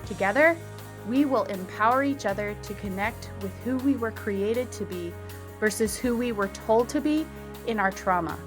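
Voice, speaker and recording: A woman's voice: fairly high pitch, moderate pace, music in the background. A woman hosting a podcast, upbeat and encouraging as she talks with a guest. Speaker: podcast host. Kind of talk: podcast interview.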